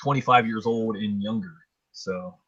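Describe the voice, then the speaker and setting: A middle-aged man hosting a casual podcast, hoarse from illness, blunt and quick to swear. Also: lowish voice, fairly bright tone, muffled recording. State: hoarse, getting over a chest illness. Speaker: podcast host. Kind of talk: casual chat.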